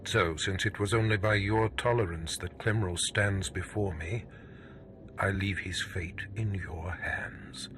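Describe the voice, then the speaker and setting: An actor playing a stern, scheming Sith lord in a video game, speaking in a measured, imperious, menacing manner. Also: male voice, sits low, fairly even intonation, noisy background. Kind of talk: scripted video game drama.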